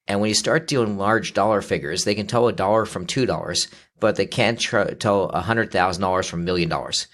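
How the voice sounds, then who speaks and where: Low-voiced man in his fifties, steady. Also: brisk. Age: 50s. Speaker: financial advisor. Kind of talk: conversational interview.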